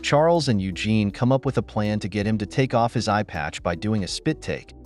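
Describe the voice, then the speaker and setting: A man's voice: lowish voice, animated, brisk, darkish tone, faint music behind. A man reading a scripted recap narration as the host of a breakdown video. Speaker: host of a TV episode breakdown channel.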